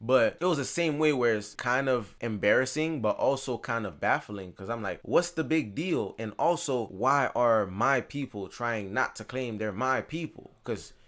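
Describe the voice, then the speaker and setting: A man's voice: medium pitch, normal speed, few pauses. Young Haitian man telling a story. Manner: casual slangy storytelling with animated asides. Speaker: monologue host. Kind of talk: storytime monologue.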